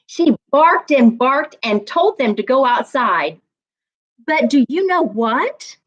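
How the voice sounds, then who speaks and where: A woman reading aloud and teaching as a storytime reading coach, with deliberate, emphatic phrasing meant for young listeners. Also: sits high, big pitch swings, highs cut off. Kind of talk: expressive children's read-aloud and teaching.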